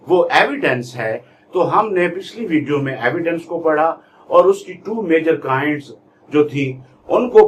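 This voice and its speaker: A man with a Pakistani accent giving an instructional lecture in a deliberate, emphatic way, repeating key terms. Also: fairly high pitch. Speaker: law lecturer, YouTube channel host. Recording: faint background noise.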